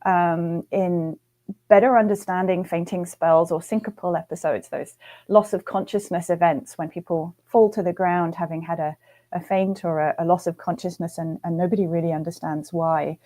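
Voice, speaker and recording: A middle-aged woman from the UK with a light Northern English accent, a guest researcher explaining things in a relaxed, thoughtful way over a video call. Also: lowish voice, moderate pace. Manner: warm, laughing, thoughtful with fillers. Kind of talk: conversational explaining.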